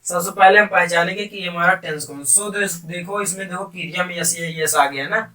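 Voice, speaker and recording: Higher-pitched man teaching, off-mic. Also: brisk, pushed and bright. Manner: repetitive, question-and-answer teaching, addressing students. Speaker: English grammar teacher. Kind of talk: classroom-style grammar lesson in Hindi with English terms. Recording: distant microphone.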